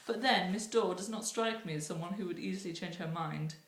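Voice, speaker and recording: A woman reading aloud and voicing a gentle, sinister angel who speaks softly and courteously, in a roomy room. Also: on the low side, fairly quick, lightly acted. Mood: silky courteous. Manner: soft, courteous, purring menace. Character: gentle, sinister angel, silky and falsely kind. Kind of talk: audiobook reading.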